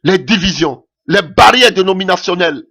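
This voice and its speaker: A man's voice: sits high, lively intonation, moderate pace, sharp tone. A man preaching a sermon in French that is not his mother tongue, impassioned and punctuating his points with "Alléluia".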